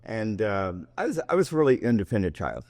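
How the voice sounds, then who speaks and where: A man in his fifties giving relaxed interview answers, rambling a little and often restarting his sentences. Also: fairly low pitch, a little fast, no echo. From United States, raised in Japan. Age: 50s. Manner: rambling with frequent restarts and self-interrupting asides. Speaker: martial arts teacher and guest.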